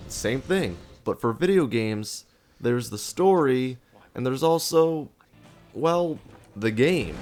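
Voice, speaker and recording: Male video essay host, conversational and sarcastic, average pitch, light music bed behind. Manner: sarcastic, rhetorical questions answered by himself. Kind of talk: scripted narration in a casual, conversational video essay.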